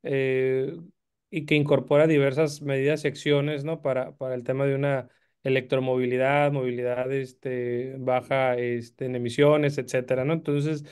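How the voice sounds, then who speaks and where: A man speaks in a meeting over a video call. His voice is smooth and clear, and he halts often on este and eh as he feels for words. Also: mid-range voice, flat delivery, a little mellow. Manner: halting, fills pauses with este and eh. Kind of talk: meeting remarks.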